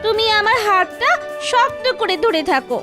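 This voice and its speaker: A woman's voice: very high voice, expressive, normal speed, over loud music. A woman voicing a small, trusting, timid schoolboy in a children's cartoon, childlike and inquisitive.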